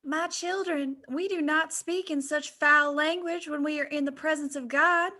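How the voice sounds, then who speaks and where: A man's voice: very high-pitched, fairly even intonation, moderate pace, bright, forceful tone, voice pushed up, full character voice. A man voicing a prim, pious, scolding old schoolmistress with a sanctimonious, prissy manner.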